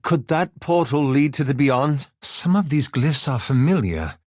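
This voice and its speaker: A man's voice: mid-range voice, expressive, measured pace, darkish tone, no echo, studio quality. An actor voicing a cautious, scholarly young male elf wizard in a video game, formal and dryly sardonic, with precise articulation. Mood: curious, careful. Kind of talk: scripted video game voice lines.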